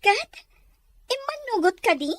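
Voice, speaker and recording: A woman's voice: very high voice, very animated, unhurried, no echo, full character voice. A woman playing a lovesick, pleading young daughter in an amateur radio-style drama.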